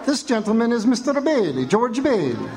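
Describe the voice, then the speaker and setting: An actor playing a kindly, worried café owner in a live radio play. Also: male voice, very high voice, very animated, moderate pace, crowd noise, full character voice. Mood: concerned. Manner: concerned, fussing. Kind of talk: live radio drama acting.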